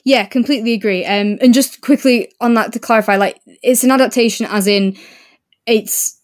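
A woman's voice: fairly high pitch, normal speed, dry recording, studio quality. A woman co-hosting a podcast, chatting casually and effusively about books. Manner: enthusiastic, effusive. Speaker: podcast co-host. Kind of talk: casual book review discussion.

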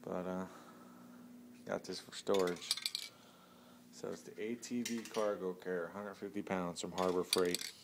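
Casual, plain-spoken man, a bit low, doing a rambling outdoor phone review. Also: fairly even intonation, measured pace, long pauses, noisy background. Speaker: product reviewer. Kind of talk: casual product review vlog. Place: outdoors by a van on pavement.

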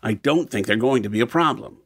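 A man's voice: medium pitch, a little fast, gravelly, slightly rough, no echo, studio quality. A man playing a practical, level-headed planner in an audio drama, speaking matter-of-factly.

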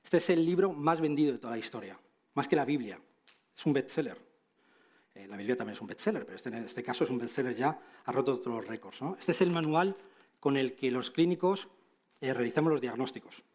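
Middle-aged male conference speaker, Madrid Castilian accent, mid-pitched, informal and wry, checking in with tag questions. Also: a little fast, a little mellow. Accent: Castilian Spanish from Madrid. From Spain. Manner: conversational, frequent tag questions, wry asides. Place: large auditorium stage, indoors.

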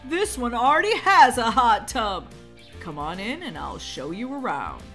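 A woman's voice: a little high, big pitch swings, a little slow, a bit bright, soft background music, heavily acted. A woman playing an upbeat, pushy real-estate agent with a chirpy sales manner in a game roleplay story. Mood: coaxing.

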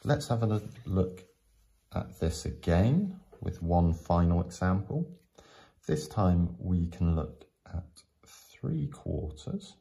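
Male tutor for step-by-step lesson narration: very low pitch, gravelly creak, patient explanatory delivery. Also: big pitch swings, mellow. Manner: patient, explanatory, with frequent pauses. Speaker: teacher.

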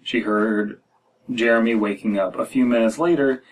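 An American man in his thirties hosts a casual story-reading podcast, reading haltingly and breaking off for joking asides. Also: mid-range voice, a little hiss or hum. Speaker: podcast host reading stories aloud. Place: small room at home, indoors. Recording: distant mic in a room.

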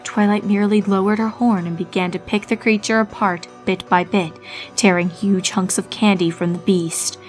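Woman, mid-pitched, scripted dark story narration, steady intonation, clear background music. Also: normal speed.

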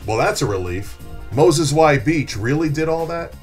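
A man's voice: on the high side, animated, normal speed, soft background music, close to an everyday voice. A middle-aged man playing a wry podcast host who delivers scripted, sardonic quips in short punchy interjections. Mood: curious, wry. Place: small indoor podcast studio. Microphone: close studio microphone on a stand.

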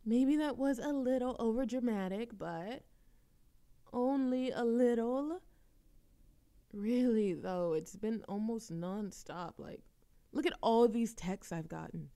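A woman's voice: fairly high pitch, slow. A woman playing a playful, dramatic young woman chatting teasingly with a best friend in an audio roleplay. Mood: chatty, wry. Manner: animated complaining, teasing, theatrical exasperation. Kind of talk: intimate friend roleplay audio acting, conversational.

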